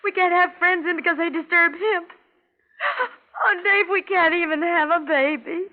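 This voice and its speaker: A woman's voice: extremely high pitch, normal speed. A woman playing a meek, overworked young wife in an old-time radio drama, nervous, placating and frayed. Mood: despairing. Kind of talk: 1940s radio drama acting. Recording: noisy, narrow-band vintage radio recording.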